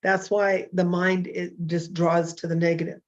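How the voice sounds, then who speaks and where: Hoarse fifty-something woman host, low-pitched, loose and chatty with restarts, muffled recording. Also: flat delivery, normal speed. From California. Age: 50s. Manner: rambling, self-deprecating, with frequent fillers and restarts. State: hoarse from illness. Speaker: hypnotherapist hosting a show. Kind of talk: casual solo talk show.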